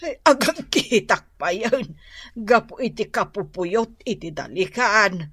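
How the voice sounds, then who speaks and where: A woman playing a middle-aged rural mother in a comedy radio drama, with an Ilocano accent and a drawn-out, hesitant, exclaiming way of talking. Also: mid-range voice, expressive, fairly bright tone, breathy edge, no room sound, full character voice. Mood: hesitant, wheedling. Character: whiny, wheedling rural mother, easily flustered, laughing.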